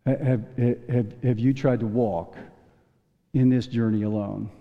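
A male pastor preaching a sermon in a folksy storytelling manner, stumbling into restarts and chuckling now and then, with a voice he says is going hoarse. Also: medium pitch, steady tone, a little slow. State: losing his voice. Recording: dull long church reverb.

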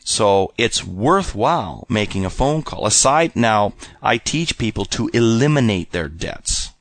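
A man's voice: lowish voice, lively intonation, measured pace, no room sound. A man giving an informal instructional talk as a personal finance teacher, often repeating and rephrasing his points.

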